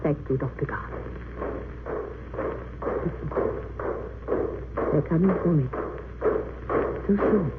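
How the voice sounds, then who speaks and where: A woman's voice: deep voice, slow pace, pauses often. An actress playing a composed, idealistic woman prisoner facing execution in an old radio drama, speaking with earnest, formal solemnity. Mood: quiet resignation. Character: Edith Cavell, condemned woman prisoner, composed, idealistic, resolute. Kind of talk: 1930s-40s radio drama acting. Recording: muffled, noisy vintage radio recording.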